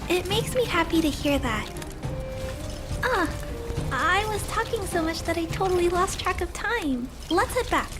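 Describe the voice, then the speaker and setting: A woman voicing a gentle, earnest little girl in a video game, speaking softly and politely. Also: very high voice, expressive, a little slow, music in the background. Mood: earnest, hopeful. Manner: soft-spoken, polite, earnest. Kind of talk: scripted video game acting.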